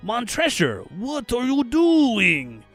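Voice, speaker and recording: Drunken doomed nobleman played by a male reader: raised pitch, theatrical cries, music underneath. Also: lively intonation, creaky, full character voice. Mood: alarmed. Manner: loud, drawn-out, theatrical cries. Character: merry drunken doomed nobleman, pleading and trembling. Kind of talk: dramatic short story reading.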